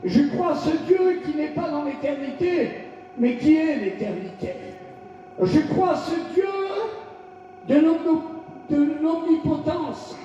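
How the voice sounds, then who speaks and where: Man, pastor, from France, high pitch, shouted call-and-response preaching, reverberant hall, far from mic, faint hum. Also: lively intonation, takes it slowly. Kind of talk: fiery revival sermon. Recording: echoey hall, distant lo-fi mic.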